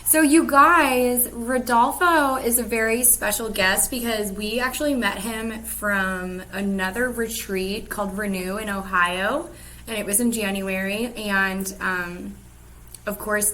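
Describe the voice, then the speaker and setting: Bright upbeat woman co-host. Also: mid-range voice, fairly even intonation, takes it slowly, poor audio. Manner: upbeat, greets viewers by name. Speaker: co-host of weekly live show. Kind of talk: casual livestream chat.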